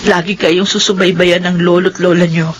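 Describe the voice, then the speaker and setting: A middle-aged woman playing a loving, self-sacrificing mother in a radio drama, soft and pleading. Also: on the low side, flat delivery, normal speed, slight background noise, close to an everyday voice. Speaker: mother of grown children.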